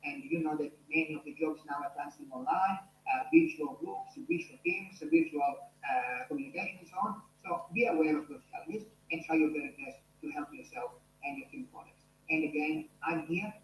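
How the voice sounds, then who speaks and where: A man's voice: on the high side, brisk, reverberant, slight background noise, poor audio. A man with an Italian accent, a university lecturer speaking to his online class in an earnest way, with many fillers and restarts. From Italy.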